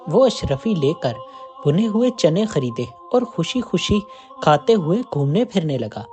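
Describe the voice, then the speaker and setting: A storyteller reading a scripted moral folk tale in an expressive way. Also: medium pitch.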